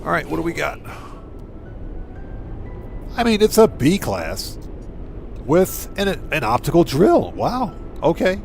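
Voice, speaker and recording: A man's voice: fairly high pitch, sing-song, takes it slowly, vocal fry, long pauses, music in the background. A man giving casual live game commentary, thinking aloud step by step and chatting with his viewers. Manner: talks to himself step by step and addresses chat. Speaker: game streamer, host.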